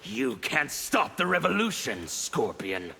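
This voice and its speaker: Gravelly, scarred revolutionary zealot for a fighting game, bright forceful edge, slow defiant delivery. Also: male voice, a little high, wildly swooping pitch, heavily acted. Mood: menacing. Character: bitter fanatical male revolutionary of chaos, scarred and menacing. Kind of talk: video game fight banter.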